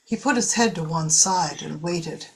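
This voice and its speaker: A woman's voice: low voice, unhurried, vocal fry, a little hiss or hum, poor audio. A woman reading scripted narration for a radio drama as its narrator.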